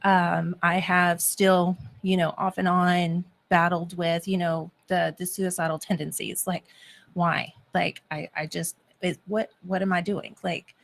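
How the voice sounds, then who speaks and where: Woman, low gravelly voice, soft hesitant speech, talk show guest. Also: flat delivery. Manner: soft, hesitant, many fillers and pauses. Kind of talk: personal testimony on a talk show.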